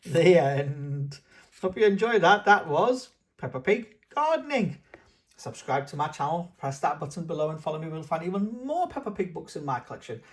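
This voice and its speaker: A man's voice: fairly high pitch, very animated, moderate pace. A man hosting a children's read-aloud, narrating a storybook in an enthusiastic manner. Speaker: children's book reader and channel host.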